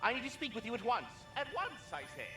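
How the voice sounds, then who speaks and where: An actor voicing an officious, indignant talking squirrel in a video game, pompous, verbose and insistently formal. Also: male voice, very high-pitched, wildly swooping pitch, fast talker, exaggerated voice. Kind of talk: cinematic video game acting. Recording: music behind the voice.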